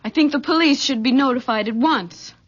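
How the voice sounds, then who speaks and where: A woman playing an anxious, excitable young woman in a vintage radio drama, with an urgent, alarmed manner. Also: high-pitched, fairly quick. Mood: shaken, urgent. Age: young adult. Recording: dull, faintly noisy vintage radio.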